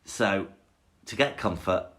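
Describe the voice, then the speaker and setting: A man co-hosting a podcast, chatting casually about a song, enthusiastic and quick to laugh while arguing playfully. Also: low-pitched, a bit bright. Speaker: podcast co-host. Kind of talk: casual chat reviewing a song.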